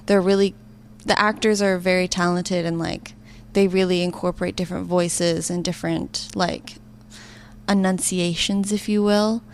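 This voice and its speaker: A woman's voice: lowish voice, flat delivery, moderate pace, a little hiss or hum. A young woman giving casual interview answers, informal and sprinkled with filler words like "kind of". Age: young adult. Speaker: student interviewee, live sound operator.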